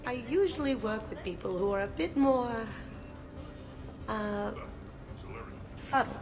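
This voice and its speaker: A woman's voice: a little high, expressive, slow pace. A woman voicing a self-assured, flirtatious game character with a teasing, suggestive way of talking. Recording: music playing behind the voice.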